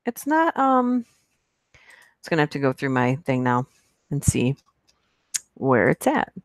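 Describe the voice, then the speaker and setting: A woman's voice: very deep voice, big pitch swings, measured pace, no echo, clean studio sound. A woman hosting a live stream, chatting casually with viewers, reading their comments and rambling with lots of fillers and giggles. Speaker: horror narration channel host.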